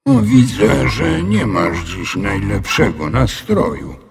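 A man's voice: fairly low pitch, very animated, takes it slowly, gravelly, exaggerated voice. A man voicing a big, friendly, bumbling male dragon in a children's audio story, with hesitant, drawling, sheepish speech. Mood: soothing.

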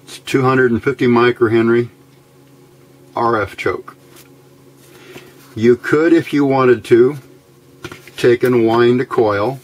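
A man giving a casual technical tutorial in a plain-spoken, methodical way, with hesitant pauses, as an electronics hobbyist at a workbench. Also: medium pitch, steady tone, a little mellow. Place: indoors at a workbench.

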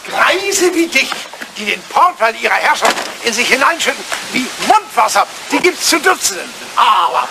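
Elderly lord, radio play, testy, self-pitying, sputtering, high voice, noisy vintage recording. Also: male voice, sing-song, moderate pace, full character voice. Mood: furious. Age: older. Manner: blustering, sputtering, repeats himself. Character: irascible, self-pitying aging English lord, jilted suitor.

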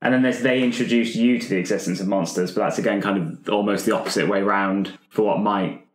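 Low-voiced man in an echoey room. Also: steady tone, brisk, slight background noise. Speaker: player in a tabletop roleplaying podcast. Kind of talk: casual group chat. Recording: roomy, echoey sound.

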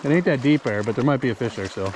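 A man chatting casually and excitably in slang as he hosts a fishing vlog outdoors on an action camera. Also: mid-range voice, rapid-fire. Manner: excitable, slangy, says dude and man often. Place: outdoors at a wooded creek. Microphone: action camera worn or held by him. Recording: outdoor recording with background noise.

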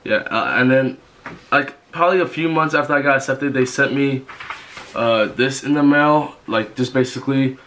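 A man's voice: medium pitch, normal speed, fairly bright tone, slight background noise. A young man from Georgia talks to a camera in his bedroom, his voice stuffy from a cold. He rambles casually in a mid-range voice, restarting sentences often. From Georgia, USA. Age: young adult. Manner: rambling, with many restarts and fillers. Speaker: vlogger. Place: bedroom, indoors. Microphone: camera in front of him.

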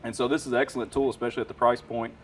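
A man's voice: mid-range voice, steady tone, racing, far from the mic, slight background noise. A man in his thirties, an HVAC technician, explaining tools in casual, enthusiastic shop talk with lots of fillers. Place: warehouse parts room with shelves.

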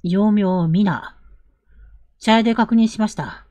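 A woman playing a polite, reserved, determined young traveller in a period audio drama, speaking formally and with measured care. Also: mid-range voice, mellow, no echo, lightly acted. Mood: calm, guarded.

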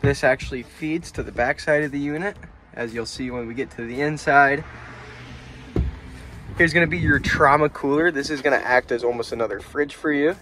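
A young man giving a relaxed, plainspoken walkaround tour of a small camping trailer, filmed on a handheld phone outdoors and then inside. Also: medium pitch, moderate pace, noisy background. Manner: relaxed, plainspoken, pointing things out as he goes.

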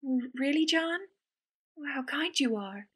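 A woman playing a gentle eldest sister who speaks warmly and earnestly. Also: sits high, expressive, takes it slowly, full character voice.

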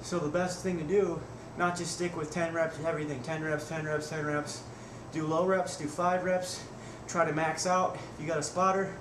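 A man's voice: fairly high pitch, flat delivery, normal speed, hardly any pauses. A young man in his twenties talking casually and bluntly through a home workout vlog, swearing now and then. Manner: blunt, swears, strains and grunts through reps. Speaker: fitness vlogger. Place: small room at home. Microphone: webcam at desk distance. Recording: echoey room, noisy webcam audio.

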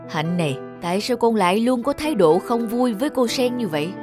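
Kindly village dad in a cartoon, gentle, coaxing, loud music under it. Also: male voice, extremely high pitch, animated, brisk. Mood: gentle concern. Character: kindly village father, devoted to his daughter. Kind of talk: cartoon dub acting.

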